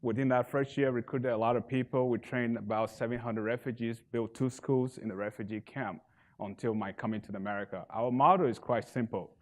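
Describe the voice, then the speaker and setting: A man in his thirties from Liberia with a Liberian accent, giving an earnest stage pitch for his nonprofit that builds to emphatic bursts. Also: steady tone, brisk. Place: event stage.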